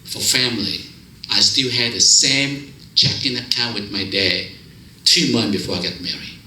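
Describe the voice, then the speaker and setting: A man's voice: on the low side, normal speed, bright, forceful tone, reverberant, a little hiss or hum, poor audio. A man in his fifties from Vietnam with a Vietnamese accent, telling his life story at a men's fellowship in broken English, with jokes. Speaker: guest speaker. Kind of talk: personal testimony speech. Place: church fellowship hall.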